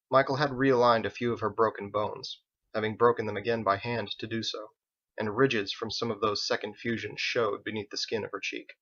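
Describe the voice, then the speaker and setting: A man reading his own fantasy novel aloud as an expressive, scripted audiobook narration. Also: lowish voice, muffled recording.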